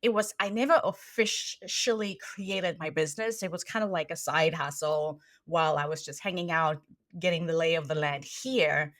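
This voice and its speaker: South african lady coach, lowish voice, animated and chatty, stumbles on first words. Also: moderate pace. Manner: animated, laughs easily, repeats words when starting. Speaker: podcast guest, trainer and coach. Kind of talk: conversational interview.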